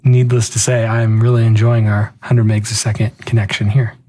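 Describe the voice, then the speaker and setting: A man co-hosting a podcast, chatting casually in a relaxed way, with frequent fillers. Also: on the low side, fairly quick. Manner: relaxed, easygoing, lots of "um" and "like". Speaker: podcast co-host. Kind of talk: casual chat.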